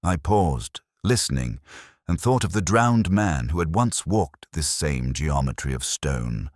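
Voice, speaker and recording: A man narrating a scripted first-person detective story in a measured, reflective, literary manner. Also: rumbling low, dry recording, clean studio sound.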